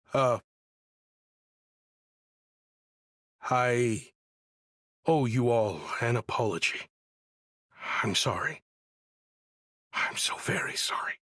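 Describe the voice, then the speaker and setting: A man's voice: on the high side, slightly rough, dry recording, studio quality, full character voice. An actor playing a guilty man caught stealing, who talks in a theatrical, pleading, hesitant way in a video game. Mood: remorseful pleading.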